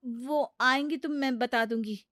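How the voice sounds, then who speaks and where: A woman voicing a worried, outspoken young wife who argues and scolds in an animated Hindi moral story. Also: high-pitched, measured pace, dry recording, studio quality.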